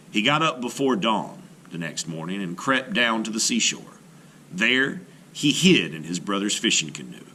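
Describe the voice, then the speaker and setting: Animated, deep-voiced male storyteller. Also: slight background noise. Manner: dramatic, carefully phrased storytelling. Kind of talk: expressive children's read-aloud narration.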